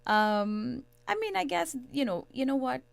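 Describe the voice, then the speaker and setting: A middle-aged woman with a Trinidadian accent, speaking in a measured, earnest way as a guest analyst in a radio interview. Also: sits high, sing-song, gravelly, clear, resonant tone, no echo. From Trinidad and Tobago. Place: radio studio.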